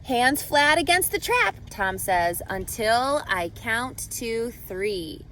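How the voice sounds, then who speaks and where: Teacher lady doing a kids read aloud, really swoopy expressive voice, asks questions, some background noise. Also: fairly high pitch, slow pace. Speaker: reading teacher, host of children's read-aloud. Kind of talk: picture-book read-aloud with questions and explanations to children.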